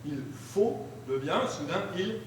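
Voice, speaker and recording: A man on stage reading grammar examples and old texts aloud in a deliberate, declamatory way, in a live performance. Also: high-pitched, lively intonation, slight background noise. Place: large hall, live performance. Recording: echoey hall from far off.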